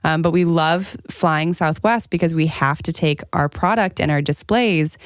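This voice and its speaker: A woman's voice: low-pitched, moderate pace, smooth, no echo, studio quality. A woman co-hosting a podcast in casual conversation, with a playful, jokey way of talking. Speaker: podcast co-host. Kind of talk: casual chat.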